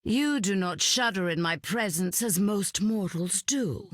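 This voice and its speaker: A woman's voice: mid-range voice, expressive, a little slow, no echo, clean studio sound. A woman voicing an imperious, silky goddess of death in a video game, grand, theatrical and condescending.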